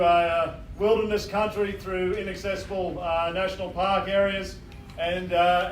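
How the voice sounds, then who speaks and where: A man with an Australian accent gives a plain-spoken public briefing as a fire incident controller at a community meeting, speaking loudly into a microphone with many "uh" pauses. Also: high-pitched, echoey room, far from the mic, slight background noise, low-quality recording. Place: bowling club function hall. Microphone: microphone addressing a room.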